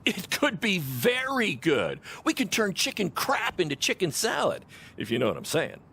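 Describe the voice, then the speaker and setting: A scheming, upbeat wrestling promoter pitches like a born salesman. His delivery is lively and swooping, sitting on the high side. It is theatrical video game acting, with faint noise behind it. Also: moderate pace, gravelly, full character voice. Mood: excited, persuasive. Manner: salesman-like, self-satisfied, theatrical. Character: brash, scheming indie wrestling promoter, relentlessly upbeat hype man.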